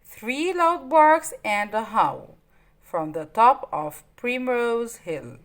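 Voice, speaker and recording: A woman storyteller reading aloud to children in an expressive, simply worded way with dramatic emphasis. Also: on the high side, slow pace.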